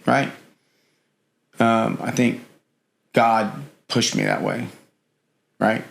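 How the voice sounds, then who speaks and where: A man's voice: mid-range voice, steady tone, extremely slow, gravelly. A middle-aged man talking as a podcast guest in casual interview chat, rambling with lots of fillers and "you know".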